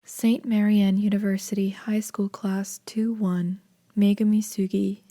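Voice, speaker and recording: A woman narrating a horror video game fan dub in a hushed, breathy, grave and measured way. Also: mid-range voice, flat delivery, natural voice.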